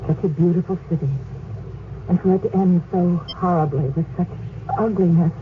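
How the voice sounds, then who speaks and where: A woman's voice: lowish voice, moderate pace, close to an everyday voice. An actress playing Oriana, a tender and spirited noblewoman with an earnest way of talking. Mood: sorrowful. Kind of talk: 1970s radio drama acting. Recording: tinny, hissy old radio broadcast.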